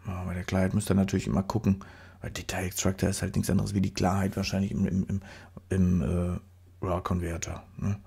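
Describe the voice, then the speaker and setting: Gravelly-voiced man with a stuffy nose. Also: deep voice, level tone, brisk, mellow. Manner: rambling, chatty, self-correcting with fillers. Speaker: photography tutor. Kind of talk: casual screen-recorded tutorial voice-over. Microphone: studio microphone.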